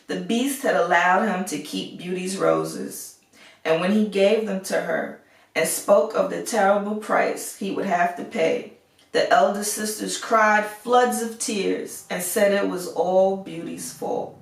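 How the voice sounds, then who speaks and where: A woman reading a fairy tale aloud as storyteller, measured and expressive, in an echoey recording. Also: medium pitch, gravelly. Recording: echoey room, far from the mic.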